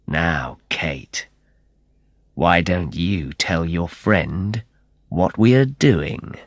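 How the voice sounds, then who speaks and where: A man voicing a cold, menacing male crook who drawls his threats slowly in a storybook reading. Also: sits low, animated, dry recording, clean studio sound, voice pushed down, heavily acted. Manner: slow, drawling and sneering.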